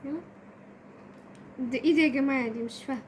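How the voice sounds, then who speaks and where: A young Egyptian girl with a high-pitched voice talks casually and fast as a kids' channel host. She directs a younger child in a bossy way. There is faint noise in the background. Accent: Egyptian Arabic. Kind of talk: casual unboxing chat.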